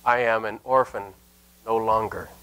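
An older man, a Lutheran pastor, preaching a sermon in an earnest, emphatic manner with deliberate pauses and repeated phrases. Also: on the low side, steady tone, fairly bright tone, smooth, low-quality recording. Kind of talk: sermon with scripture and anecdote. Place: indoor church sanctuary at a lectern.

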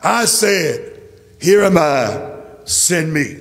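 Male preacher, impassioned and declamatory, slow delivery with big melodic swings, church sermon. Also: a little high, big pitch swings, vocal fry. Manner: declamatory, emphatic, repetitive rhetorical phrasing. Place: large church. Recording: reverberant hall, faint music.

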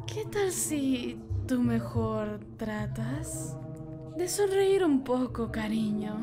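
A woman's voice: fairly high pitch, expressive, slow, loud music behind the voice. A woman playing a wry, melancholy female cat club owner in a cartoon, with a Latin American accent. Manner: languid, wry. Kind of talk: animated cartoon fandub.

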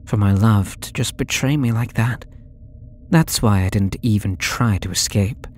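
A man's voice: fairly low pitch, unhurried. A young man playing a possessive, lovesick stalker under interrogation in an ASMR audio roleplay, coy, pleading and theatrical, drawing out his words. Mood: wistful, sheepish. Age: young adult.

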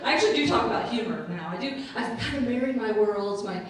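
A woman's voice: a little high, lively intonation, quick pace, a little hiss or hum. Playful middle-aged woman doing stand-up. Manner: playful, self-mocking, chatty with audience. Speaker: stand-up comedian and speaker. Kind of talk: stand-up comedy set. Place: stage in a large room with a live audience. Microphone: handheld mic. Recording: roomy, distant, with audience laughter.

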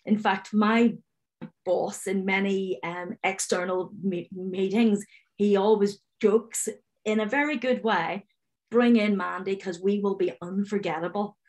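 A woman's voice: medium pitch, fairly even intonation, unhurried, darkish tone. A woman in her forties with a Northern Irish accent, speaking on a video call panel and stammering openly with repeated sounds, direct and confident. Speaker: webinar panellist, finance manager. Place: home room, indoors. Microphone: video call webcam.

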